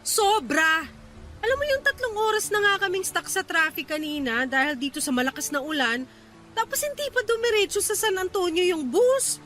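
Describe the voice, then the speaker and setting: A woman's voice: very high-pitched, moderate pace, pushed and bright, faint music behind. A woman playing a young woman stranded at night after a bus cut its route, complaining in exasperation in a radio drama. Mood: exasperated.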